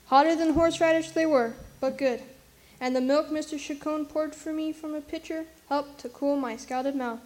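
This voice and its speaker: High-voiced streetwise New orphan played by a woman. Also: darkish tone, lightly acted. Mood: fond, wry. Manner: folksy, wry, colloquial. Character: streetwise Brooklyn orphan child narrating with plucky frankness. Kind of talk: storytelling narration in radio drama.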